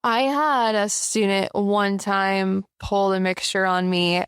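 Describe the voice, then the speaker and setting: A woman's voice: mid-range voice, slow pace, clear, resonant tone, dry recording, studio quality. Outspoken woman podcast co-host. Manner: animated, outspoken. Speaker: podcast co-host, flight instructor. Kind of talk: casual chat.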